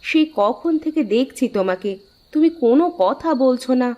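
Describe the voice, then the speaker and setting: A woman as a concerned wife, high, muffled. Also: lively intonation, normal speed, mellow. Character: concerned, practical village wife. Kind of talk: cartoon dialogue.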